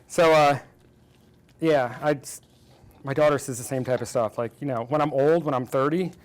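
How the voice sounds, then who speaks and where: A man's voice: mid-range voice, normal speed. A man in his forties hosting a baking show, chatting and teasing his guest as he bakes. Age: 40s. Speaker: cooking show host. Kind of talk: casual chat while baking.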